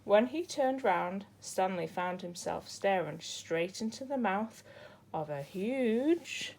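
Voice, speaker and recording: Female storybook reader, unhurried and soft-toned, for children's story narration. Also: mid-range voice, animated, vocal fry.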